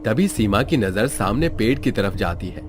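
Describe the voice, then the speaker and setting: Male storyteller, low register, steady scripted narration, music underneath. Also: moderate pace, slight background noise.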